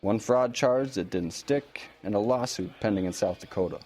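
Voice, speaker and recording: An actor playing a polite, easygoing small-town police officer in an audio drama, informal and a little awkward, in natural conversational dialogue. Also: male voice, fairly low pitch, normal speed, a little mellow, lightly acted. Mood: informative.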